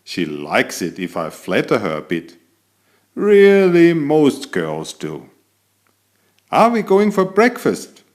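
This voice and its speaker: An elegant storybook tomcat played by a man who is very pleased with himself. He speaks slowly, with pitch that rises and dips freely, and talks straight to the children listening. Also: huge pitch swings. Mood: cheerful, flattering. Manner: self-admiring, theatrical, addresses young listeners directly. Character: elegant, vain, chatty tomcat telling his adventures. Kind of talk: animated children's picture-book narration.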